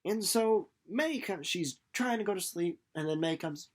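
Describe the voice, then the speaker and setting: A man's voice: sits high, expressive, measured pace. Playful young man casually recapping a book. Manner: informal, playful, rambling with asides. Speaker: book reader and presenter.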